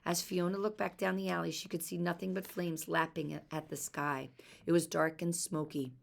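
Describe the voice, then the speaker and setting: A woman reading a children's story aloud in an expressive storytelling style. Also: fairly low pitch, normal speed, vocal fry. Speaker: storybook reader.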